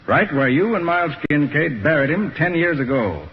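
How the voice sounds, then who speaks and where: A man's voice: fairly high pitch, sing-song, normal speed. An actor playing a shrewd, confident private detective in a 1940s radio drama, assured as he lays out the facts. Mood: accusing. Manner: assured, explanatory. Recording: muffled, noisy old radio recording.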